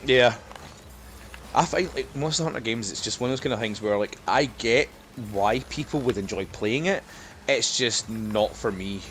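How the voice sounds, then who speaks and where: A man's voice: mid-range voice, normal speed, a bit bright, a little hiss or hum. A man in a casual, jokey, sweary chat with friends as a podcast co-host.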